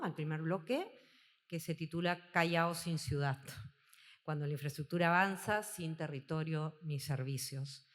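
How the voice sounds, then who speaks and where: A woman moderating a conference, formally introducing the first session and the speaker. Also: low voice. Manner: formal, announcing. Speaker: event moderator. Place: conference hall. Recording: hall reverb.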